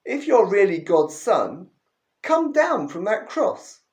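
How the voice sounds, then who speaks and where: A man voicing a loud jeering mob. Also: high-pitched, very animated, slow pace, voice pushed up, exaggerated voice. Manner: loud taunting, sneering. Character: jeering mocking crowd of men. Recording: roomy echo.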